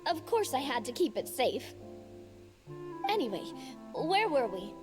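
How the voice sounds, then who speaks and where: A whimsical young game heroine. She speaks high and theatrically, with lively swoops and a breezy, mischievous air, over clear background music. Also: unhurried. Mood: musing.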